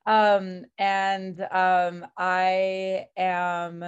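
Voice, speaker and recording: A talkative woman from the Chicago area introduces her role in a casual group chat. Her voice sits a little low and sounds smooth and clear, and she laughs often and leans on fillers as she talks. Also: flat delivery, extremely slow, lo-fi sound. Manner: chatty, laughing, many fillers. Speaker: project creative consultant and transcriber.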